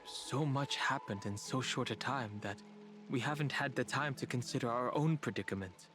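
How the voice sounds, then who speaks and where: A man's voice: mid-range voice, normal speed, natural voice. Composed, thoughtful young man over music, acted. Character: composed, observant male traveling companion, thoughtful and analytical. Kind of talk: video game voice acting. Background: background music.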